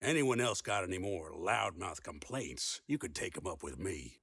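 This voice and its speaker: Low-voiced, no-nonsense sheriff played by a man. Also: expressive, normal speed, a bit bright, husky, dry recording, heavily acted. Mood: firm, calm. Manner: authoritative, no-nonsense. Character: firm, fair Old West sheriff, unimpressed by troublemakers. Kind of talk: audio drama western acting.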